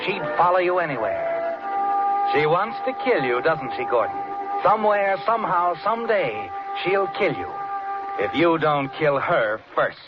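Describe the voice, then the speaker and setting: Give the 1940s radio mystery a sinister, knowing male narrator. His voice sits fairly high and speaks straight to the guilty man. The old broadcast is muffled, with music loud underneath. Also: big pitch swings, moderate pace. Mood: ominous, knowing. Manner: sinister, knowing, second-person storytelling.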